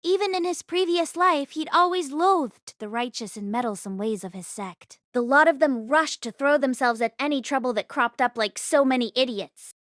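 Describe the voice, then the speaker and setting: A woman narrating a story aloud in an expressive read-along style. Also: sits high, moderate pace, few pauses, no room sound, clean studio sound. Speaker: audiobook read-along host.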